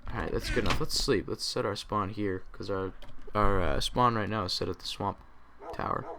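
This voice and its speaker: A young man with a fairly low, tired and raspy voice rambles through casual gameplay commentary, half talking to himself. He is at his desk microphone. Typing and mouse clicks are clearly heard behind him. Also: normal speed, background noise. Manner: rambling, talks to himself while playing. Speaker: gaming channel host. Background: keyboard typing and clicks.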